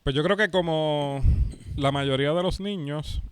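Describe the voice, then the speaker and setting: A man in his forties with a Puerto Rican accent speaks casually, as a guest in a conversation. His voice is smooth and clear, recorded close and dry. He tells things piece by piece, in short phrases. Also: a little high, measured pace, no room sound. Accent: Puerto Rican Spanish. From Puerto Rico. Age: 40s. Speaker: pastor and podcast host being interviewed. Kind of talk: casual conversational interview answers.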